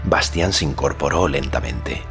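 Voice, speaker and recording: A man's voice: very deep voice, normal speed, a bit bright. A man narrating a fantasy audiobook in a scripted, dramatic literary storytelling style. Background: faint music.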